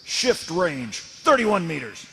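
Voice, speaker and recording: A sharp, gravelly artillery officer in a radio play. He barks clipped firing commands in a strained high voice, tense and commanding. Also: sing-song, takes it slowly, in a large hall, heavily acted. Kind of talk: radio drama acting.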